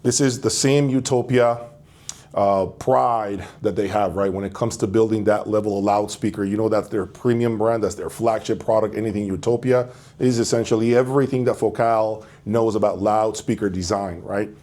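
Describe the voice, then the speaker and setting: A man's voice: on the low side, steady tone, normal speed, a little mellow. A man hosting a casual product presentation, talking directly to viewers. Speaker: host of an audio review channel.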